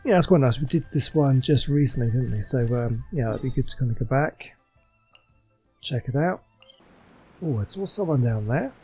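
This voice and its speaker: A man's voice: medium pitch, lively intonation, normal speed, dark tone, husky, soft background music. A man giving casual, chatty let's play commentary in a rambling way. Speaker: gaming channel host.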